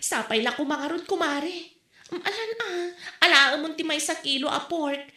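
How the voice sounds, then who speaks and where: A woman's voice: sits high, moderate pace, fairly bright tone. An actress playing a pushy, easily exasperated woman market vendor in a comedy audio drama, with an Ilocano accent from the northern Philippines. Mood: pleading. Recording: echoey room.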